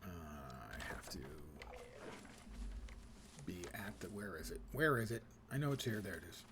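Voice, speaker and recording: Low-key male streamer over clear game noise. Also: very animated, unhurried. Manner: muttering to himself, thinking aloud. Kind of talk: casual live gameplay commentary.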